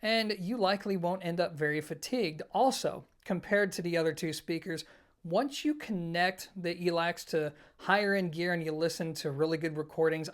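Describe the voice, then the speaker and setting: A reviewer presenting an informal product comparison, explaining things conversationally and speaking directly to viewers. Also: medium pitch. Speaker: host of an audio equipment review channel.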